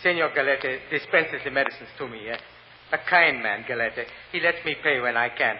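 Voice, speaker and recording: Muffled vintage radio drama, long reverb, man acting humble immigrant clerk on the witness stand, Spanish accent, halting, deferential, anxious father. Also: medium pitch, a little hiss or hum, natural voice. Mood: anxious, sorrowful. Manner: halting, deferential, formal broken English.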